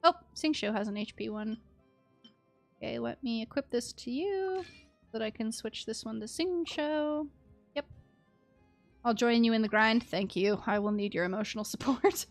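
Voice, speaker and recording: High-voiced woman streaming a game. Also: normal speed, long pauses. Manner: chatty, muttering to herself while reading. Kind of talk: casual live gameplay chat, thinking aloud. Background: music.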